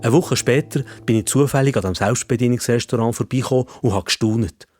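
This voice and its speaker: A man's voice: mid-range voice, fairly quick, darkish tone, soft background music. A man with a Swiss German accent narrating a scripted story for children.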